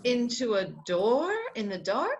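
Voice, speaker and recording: Woman acting a high, swooping flustered society bride. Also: big pitch swings, unhurried, highs cut off, a little hiss or hum. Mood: brittle, breezy. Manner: theatrical, exclamatory. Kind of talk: radio play acting.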